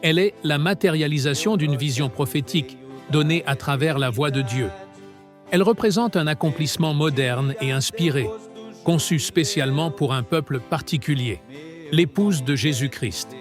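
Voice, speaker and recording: A man reading a scripted narration with a measured, solemn delivery. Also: on the high side. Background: music.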